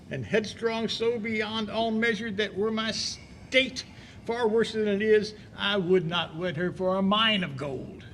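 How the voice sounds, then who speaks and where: Guy playing lovesick polite gentleman friend, shakespeare audio drama, high voice, slow n very singsong, bit of noise. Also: exaggerated voice. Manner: measured, expressive declamation. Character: courteous, lovesick gentleman friend giving counsel. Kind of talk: theatrical Shakespearean audio play acting.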